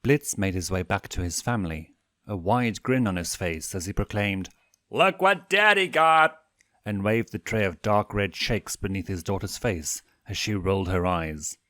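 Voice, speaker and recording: A man reading a story aloud in an expressive audiobook narration style. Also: low voice, normal speed, dry recording, clean studio sound. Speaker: fanfiction narrator.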